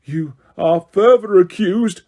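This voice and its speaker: A man's voice: high-pitched, moderate pace, mellow, dull audio, voice pushed up. A man voicing a stern, hateful judge who bellows his commands. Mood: stern, furious.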